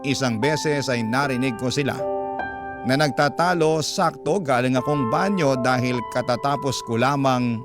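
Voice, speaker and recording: A man's voice: mid-range voice, normal speed. A man with a Filipino accent, narrating an emotional first-person story in radio drama style. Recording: music bed behind narration. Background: music.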